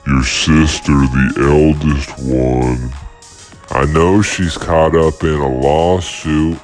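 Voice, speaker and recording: A man playing a self-regarding, eccentric doctor on a comic radio call-in, slow and theatrical, savoring odd phrases. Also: extremely low pitch, a little mellow. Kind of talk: absurdist comic radio play.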